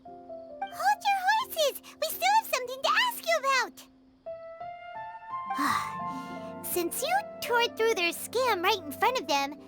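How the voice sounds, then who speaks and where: A woman voicing a small, excitable, chatty childlike fairy companion in a video game, squeaky and emphatic. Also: slow, long pauses, full character voice. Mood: eager, insistent. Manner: squeaky, exclaiming, emphatic, refers to self in third person. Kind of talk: video game character acting. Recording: background music under the voice.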